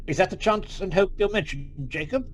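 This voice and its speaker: A man's voice: sits high, brisk, slight background noise, natural voice, low-quality recording. An actor playing a grouchy, miserly old man in a theatrical, emphatic radio-play style. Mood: frightened.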